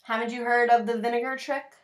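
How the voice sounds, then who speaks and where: A woman's voice: fairly high pitch, little pitch movement, fairly quick, roomy sound, close to an everyday voice. Storybook busybody mouse neighbor acted by a woman: female, nosy, pointed, disapproving. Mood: meddling.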